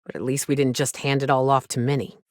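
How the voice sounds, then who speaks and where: A woman's voice: extremely low pitch, level tone, quick pace, dry recording, clean studio sound. A woman playing a weary, cynical but caring frontier woman in a video game, blunt and plainspoken with folksy, sweary talk. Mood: resigned, disapproving. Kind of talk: video game character dialogue.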